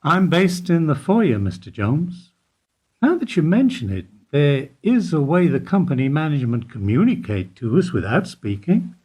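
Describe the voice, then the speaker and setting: A man acting in a radio play as an evasive older bank employee who answers in a guarded, deliberate way. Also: a little high, very animated, darkish tone, husky. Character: evasive older male bank foyer employee under questioning.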